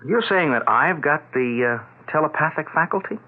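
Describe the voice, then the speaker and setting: An actor playing a skeptical, protective adult son in a 1970s radio drama, questioning and blunt. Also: male voice, on the high side, lively intonation, moderate pace. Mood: puzzled, skeptical. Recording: tinny, phone-like vintage radio broadcast.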